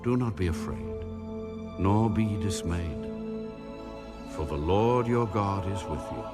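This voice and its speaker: An actor playing God in a dramatised audio Bible, speaking with solemn, weighty, commanding reassurance. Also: male voice, low voice, expressive. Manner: slow, measured, weighty delivery. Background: new-age music.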